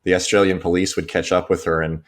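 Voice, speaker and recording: A man co-hosting a casual chat, recounting a story in a measured way. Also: deep voice, little pitch movement. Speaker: co-host psychiatrist.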